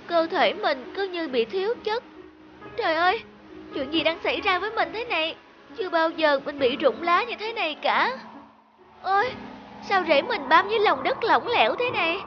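A woman's voice: very high voice, highs cut off, background noise. A woman voicing a whiny, discontented pandan tree in a children's cartoon fable, complaining with dramatic exclamations. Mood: distressed, weary. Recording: music behind the voice.

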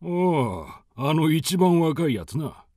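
An actor playing a domineering nomad raider chieftain in a radio drama, with rough, commanding, coarse speech. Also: male voice, fairly high pitch, big pitch swings, takes it slowly, mellow, no room sound, clean studio sound, exaggerated voice. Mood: casual.

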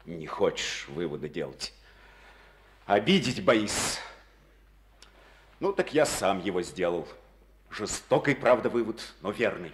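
A weary brooding party chief. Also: male voice, deep voice, sing-song, a little slow, pauses often. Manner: brooding, then wry. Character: weary, self-critical male district party chief. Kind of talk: Soviet radio play acting.

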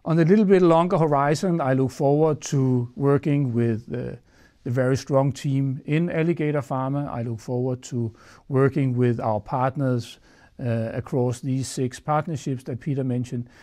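Executive in his forties with a mellow tone: earnest, filler-heavy studio address on a lapel mic. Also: mid-range voice, fairly even intonation, normal speed. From Denmark. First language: Danish. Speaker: chief executive. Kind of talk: prepared corporate address. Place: studio against a dark backdrop.

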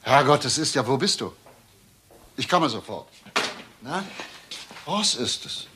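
A man of medium pitch as a police detective in a crime radio play. He is dry, probing and insistent, over a faint noise in the recording. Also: big pitch swings, a little slow, bright, forceful tone. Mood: brisk.

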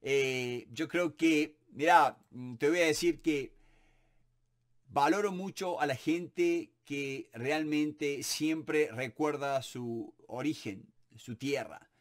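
A man in his forties with a Córdoba Argentine accent talks straight to his desk camera from a book-lined home office. His voice sits a little high, and he stays animated and encouraging as he answers viewer questions, often pausing on fillers. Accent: Córdoba Argentine Spanish. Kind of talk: casual Q&A to camera. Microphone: webcam or camera at desk, close framing.

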